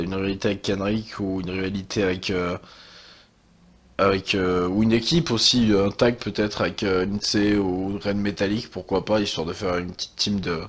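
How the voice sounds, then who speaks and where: Young man with a cold, husky. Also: fairly low pitch. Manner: hesitant, many fillers and sighs. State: has a cold, stuffy. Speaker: wrestling channel host. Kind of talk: casual commentary monologue.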